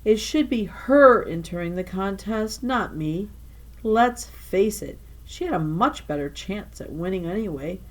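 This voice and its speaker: Lady teacher reading a kids book out loud, expressive storytelling, leans on the key words. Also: mid-range voice, unhurried, poor audio. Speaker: teacher reading aloud to a class. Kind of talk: expressive children's novel narration.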